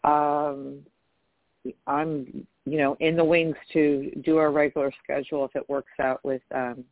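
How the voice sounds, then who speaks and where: A woman's voice: sits low, level tone, unhurried, no room sound. A woman co-hosting a casual radio chat, bantering with exclamations and frequent restarts. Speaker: co-host. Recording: tinny phone-like dry line.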